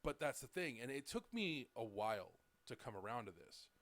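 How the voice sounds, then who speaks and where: A man's voice: medium pitch, very animated, moderate pace, a bit bright, dry recording. A man in his late thirties hosting a podcast, telling stories in a loose, rambling way full of "like" and "dude". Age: late 30s. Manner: rambling storyteller, lots of "like" and "dude". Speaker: podcast host. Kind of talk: casual podcast chat.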